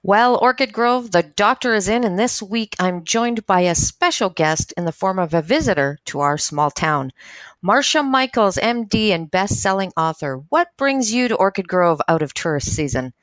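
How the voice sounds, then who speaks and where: A woman's voice: mid-range voice, animated, normal speed, fairly bright tone, dry recording, faint music behind, close to an everyday voice. A woman playing a brash, foul-mouthed small-town radio doctor in a radio drama. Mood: chirpy showmanship. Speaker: radio show host character.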